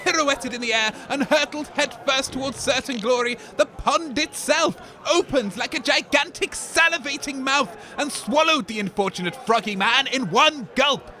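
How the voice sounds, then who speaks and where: A man's voice: very high voice, normal speed, few pauses, sharp tone, soft background music. A man playing a blustery, scornful radio co-host giving indignant live commentary in a comedy audio drama. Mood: astonished. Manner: blustery, grumbling exclamations. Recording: outdoor event with crowd behind.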